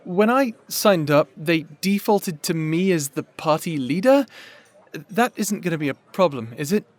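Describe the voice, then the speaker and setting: A man's voice: a little high, lively intonation, unhurried. A man playing an earnest, nervous young adventurer in a fantasy audio roleplay, with a hesitant, eager-to-please manner. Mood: sheepish.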